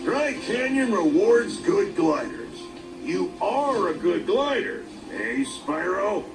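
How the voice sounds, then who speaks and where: A man voicing a gentle elder dragon giving gameplay hints. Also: sits high, very animated, takes it slowly, gravelly, heavily acted. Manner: gentle, advising. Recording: echoey distant game audio with music.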